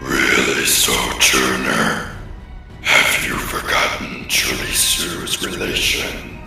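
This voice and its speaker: A bitter ancient cyborg elder for a comic dub. The voice is cavernously deep and harshly sharp, drenched in echo. It is gravelly and full of scorn. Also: male voice, completely flat delivery, slow pace, exaggerated voice. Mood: scornful. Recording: echoing, far-off, music underneath.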